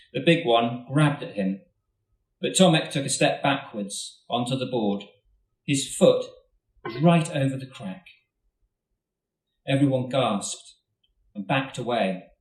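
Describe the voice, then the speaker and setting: Brief: male author reading a story aloud to a live audience, measured and steady, mid pitch, in a roomy hall with the mic at a distance. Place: literature festival event hall.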